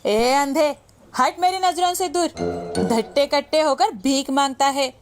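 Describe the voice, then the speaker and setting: A woman's voice: high voice, unhurried, faint music behind. A woman playing a stingy, quarrelsome old village woman in a cartoon moral story, scolding and suspicious. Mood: scornful, angry. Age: older.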